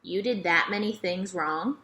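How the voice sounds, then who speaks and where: A woman's voice: medium pitch, a little slow, fairly bright tone. A woman voicing an innocent, curious young girl who asks earnest, hesitant questions in a children's storybook. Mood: puzzled. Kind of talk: children's novel read-aloud.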